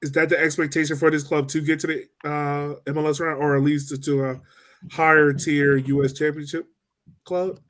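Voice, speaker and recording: A man hosting a sports podcast, relaxed and casual, asking his co-hosts questions. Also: on the high side, normal speed. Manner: relaxed, asks questions to steer the talk. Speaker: podcast host.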